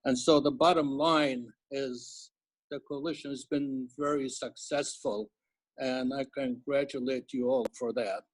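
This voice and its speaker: A man speaking as an advisory board member in a measured, formal and complimentary manner at an online round table. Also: medium pitch, a little mellow, breathy edge, dry recording, rough recording.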